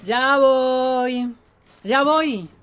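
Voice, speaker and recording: An actress playing a brisk, direct, self-assured village herbal healer in a radio drama. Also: female voice, sits high, a bit bright, soft background music, exaggerated voice. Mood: brisk, curt.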